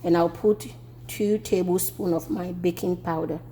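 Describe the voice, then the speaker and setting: A Ghanaian woman talks through a home cooking demonstration in her kitchen. Her voice is low and mellow, and she speaks slowly, repeating phrases as she works. A faint hum sits behind her. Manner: relaxed, repeats herself while working.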